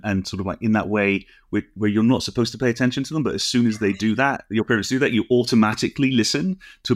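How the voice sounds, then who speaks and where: A middle-aged or older British man co-hosting a casual podcast, explaining things in a halting, discursive way with frequent asides. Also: fairly low pitch, dry recording, clean studio sound. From United Kingdom. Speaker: podcast co-host.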